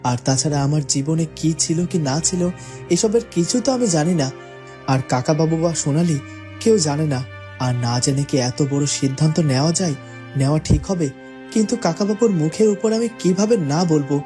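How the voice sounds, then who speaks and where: A man's voice: on the high side, a little fast, mellow, soft background music, natural voice. A man voicing a troubled, hesitant young man in an earnest, pondering cartoon monologue. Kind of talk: cartoon dubbing, dramatic monologue.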